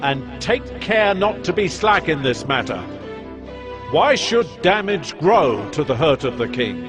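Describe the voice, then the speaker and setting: A man's voice: on the high side, very animated, measured pace. An actor playing a stern ancient king who dictates decrees in a regal, commanding manner for a dramatized scripture reading. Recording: music behind the voice.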